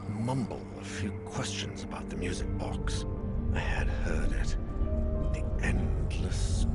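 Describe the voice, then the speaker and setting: An actor playing a haunted, exhausted male survivor of an ordeal in a horror game, speaking gravely and breathily. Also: deep voice. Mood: weary, shaken. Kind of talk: dramatic first-person game narration.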